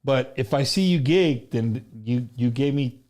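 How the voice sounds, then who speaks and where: A man's voice: medium pitch, measured pace, a little mellow. A man hosting a casual livestream music interview, loose and slangy, laughing easily and calling his guest bro and dude. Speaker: host of an online music interview show.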